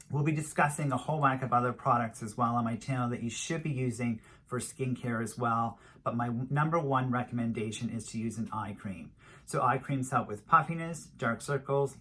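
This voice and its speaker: Male beauty vlogger, middle-aged, medium-pitched, emphatic and repetitive in an informal advisory style. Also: a bit bright, breathy edge. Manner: emphatic, repetitive, drawn-out stress on key words. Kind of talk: informal product review to camera.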